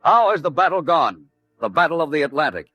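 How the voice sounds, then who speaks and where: Bright urgent announcer, raised pitch, dramatic old radio style, music behind. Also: male voice, big pitch swings, brisk, breathy edge, muffled recording. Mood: urgent, portentous. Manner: urgent, rhetorical.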